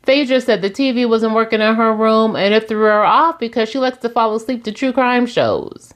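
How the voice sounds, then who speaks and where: A woman's voice: on the high side, moderate pace. A woman, recapping reality TV in a sassy, chatty way and laughing often. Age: 40s. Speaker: recap host.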